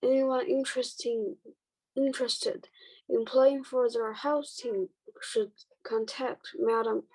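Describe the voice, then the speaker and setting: A female student reading a storybook aloud haltingly, stumbling over and repeating words. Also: a little high, fairly even intonation, a little mellow, rough recording. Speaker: student volunteer reader.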